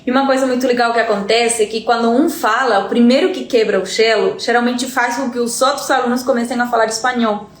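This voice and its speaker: A woman's voice: a little high, fairly even intonation, quick pace, few pauses, echoey room. A Uruguayan woman, a native Spanish speaker with a Uruguayan accent, teaching in an earnest live-stream lesson. She often repeats words and checks in with her listeners.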